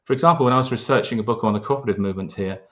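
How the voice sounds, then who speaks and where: A man's voice: fairly low pitch, racing, fairly bright tone, highs cut off. A man with a London accent, talking earnestly about local history in an interview, with frequent restarts and hesitations. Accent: London English. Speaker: local historian, award winner.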